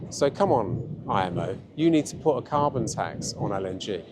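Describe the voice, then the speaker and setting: Lowish-voiced man explaining a campaign. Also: big pitch swings, moderate pace, very noisy. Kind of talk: explanatory interview to camera.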